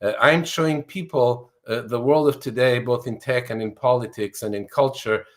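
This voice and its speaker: A man in his sixties from Portugal talks through his ideas as an interview guest. He explains things earnestly and fluently, with a few restarts, at a middle pitch. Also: normal speed. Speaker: author and guest. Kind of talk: interview answers.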